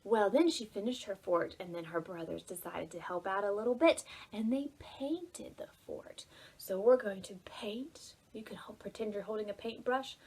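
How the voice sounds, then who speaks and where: A woman's voice: mid-range voice, normal speed, a little mellow, slightly rough, low-quality recording. A woman hosting a library storytime, reading and giving simple instructions expressively for preschool children. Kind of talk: preschool read-aloud and instruction.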